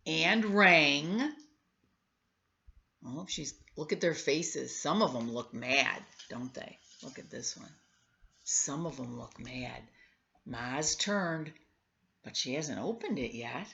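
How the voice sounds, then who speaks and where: A woman's voice: a bass voice, animated, slow, creaky, fairly bright tone, muffled recording. A woman reading a storybook aloud to children, coaxing them with questions and exclaiming in conversational asides. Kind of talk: read-aloud storytelling with questions to young listeners.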